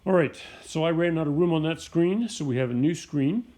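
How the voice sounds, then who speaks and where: A middle-aged man teaching a chemistry lesson while sick, with a raspy, hoarse voice, explaining step by step and often clearing his throat. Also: on the high side, a little fast, warm tone. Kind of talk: recorded homeschool lesson.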